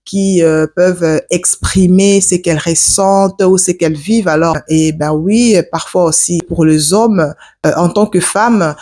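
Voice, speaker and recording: A woman's voice: fairly low pitch, a little slow, dark tone. A woman in her forties from Africa, giving advice to her viewers in a casual vlog, earnest, with many hesitations. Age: 40s. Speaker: vlogger. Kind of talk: casual advice talk to camera.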